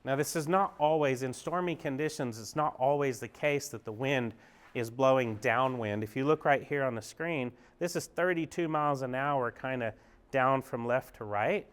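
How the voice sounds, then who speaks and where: A man with a smooth, resonant voice and a middle pitch talks in a relaxed, upbeat way. He explains each screen of an indoor golf simulator bay as he clicks through it, like a golf pro giving a casual tour. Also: moderate pace. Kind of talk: casual walkthrough demo.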